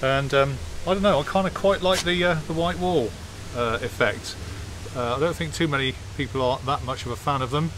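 A man giving a relaxed, hesitant walk-around commentary in an outdoor car park, filmed on a phone. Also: medium pitch, a little fast, hardly any pauses. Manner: relaxed, hesitant with many fillers. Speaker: classic car vlogger. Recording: outdoor phone video with clear background noise. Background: jet washer and outdoor noise.

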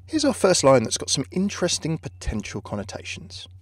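A man's voice: a little high, wildly swooping pitch, brisk, slightly rough, no echo. A man hosting a video with scripted narration, recorded as a close voice-over. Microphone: close dry voice-over microphone.

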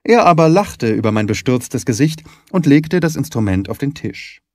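A man's voice: mid-range voice, very animated, normal speed, dry recording, clean studio sound. A man reading a literary story aloud as a scripted audiobook narration.

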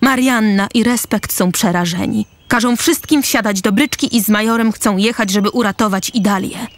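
A woman's voice: medium pitch, normal speed, no room sound. A woman reading scripted present-tense narration for a radio play. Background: music.

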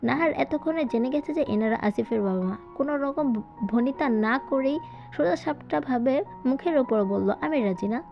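A woman's voice: high voice, gravelly. A woman reading a scripted story as a dramatic audiobook narration. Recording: dull lo-fi voiceover with music. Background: background music.

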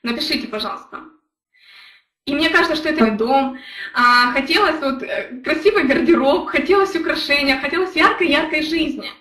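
A woman's voice: high voice, brisk, bright, forceful tone, roomy sound, far from the mic. A young woman from Ukraine giving an earnest motivational talk on a live stream, telling her own story and speaking to viewers in the chat. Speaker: network marketing leader.

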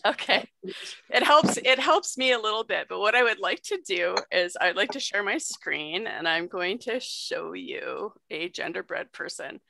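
Gravelly, bright-voiced young woman explaining. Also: medium pitch, lively intonation, a little slow, no room sound. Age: young adult. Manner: explanatory, conversational, checks in often. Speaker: guest sex educator. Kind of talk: educational presentation on video call. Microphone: video call.

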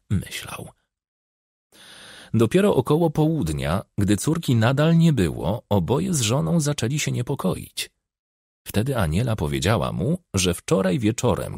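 A man narrates a crime audiobook in a low, husky voice. His delivery is expressive, rising and falling widely in pitch, and the sound is dry and close. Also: dry recording. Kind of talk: scripted crime novel narration.

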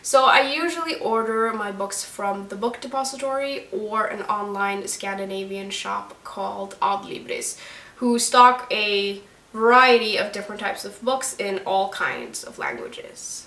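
A woman's voice: medium pitch, expressive, normal speed, sharp tone. A woman in her twenties from Norway with a Norwegian accent, talking steadily and in a friendly way to the camera in a book vlog from a room with candles and plants. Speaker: book vlogger. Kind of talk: scripted chat to camera. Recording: roomy echo, voice a little distant.

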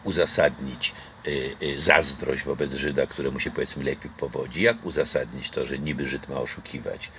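A man's voice: a bass voice, steady tone, moderate pace, a crowd in the background. An older man from Poland talking casually in an interview outdoors, reflective and hesitant with many fillers and restarts. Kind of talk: casual conversation. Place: outdoor garden patio. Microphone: camera close by.

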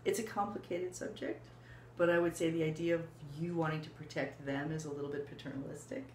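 An older woman calmly explaining her views in a casual conversation. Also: low-pitched. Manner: measured, reflective, explaining. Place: small room indoors. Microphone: fixed camera, seated. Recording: roomy with faint background hiss.

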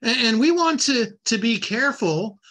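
High, bright-voiced man in his sixties teaching. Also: lively intonation, normal speed. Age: 60s. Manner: repeats words and restarts, reads scripture aloud. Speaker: Bible lecturer. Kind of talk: Bible teaching talk.

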